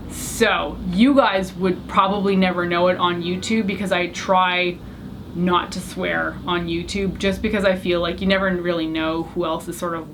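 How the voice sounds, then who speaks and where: Young woman chatting to camera, french was her first language, lowish voice, faint room hum behind. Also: fairly bright tone. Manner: chatty, candid, self-deprecating. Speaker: beauty YouTuber. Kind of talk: casual Q&A tag video.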